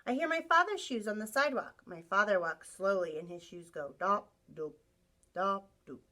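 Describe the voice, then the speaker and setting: A woman teacher reading a picture book aloud to young children in an expressive storytelling style, acting out sound effects. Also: mid-range voice, moderate pace. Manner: animated, acts out sound effects, asks listeners questions.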